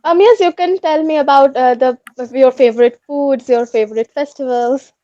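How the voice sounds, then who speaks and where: A high-voiced Indian woman talks in a halting, polite way, often restarting as she looks for the right word. The audio is dull and lo-fi with no room sound at all, like a laptop video call. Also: dark tone, dry recording. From India, West Bengal. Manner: halting, searching for words, polite. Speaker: language student. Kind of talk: casual video-call chat.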